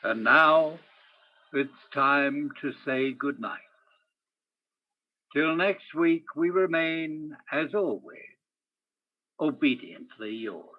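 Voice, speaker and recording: A man playing an old-time radio storyteller, reciting verse in a grand, dramatic manner that swings between hushed and booming. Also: slow, long pauses, lo-fi sound. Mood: formal sign-off. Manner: dramatic, swings from whispers to shouts.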